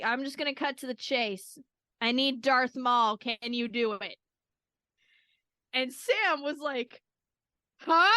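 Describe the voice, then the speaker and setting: A woman's voice: a little high, pushed and bright, no room sound. A woman podcast guest telling stories casually, with a gushing, dramatic fan's delivery. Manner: gushing, giggly, dramatic retelling. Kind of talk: casual, animated fan storytelling.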